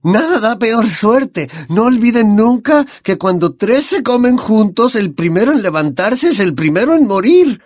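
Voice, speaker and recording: A dreamy, high-voiced prophetess, put on by a male narrator, sounding distant and theatrical. Her pitch swoops all over the place, and the recording is close and dry. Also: animated, a little slow, few pauses, a little mellow, dry recording. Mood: fearful. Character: dreamy eccentric female seer, older.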